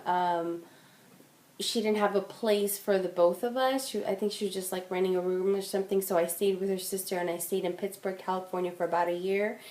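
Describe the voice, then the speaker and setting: A woman's voice: fairly low pitch, darkish tone. Casual young woman in a roomy room. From Philippines. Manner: casual, chatty, with fillers like "um" and "like". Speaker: interviewee. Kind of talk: oral history interview. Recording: roomy echo.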